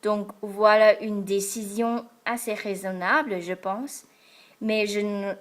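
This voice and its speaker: A young American woman from Texas, a French learner speaking to the camera in a careful, hesitant way with many pauses and fillers. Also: medium pitch. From Texas, United States. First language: English. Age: young adult. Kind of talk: casual talk to camera. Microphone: camera in front of her.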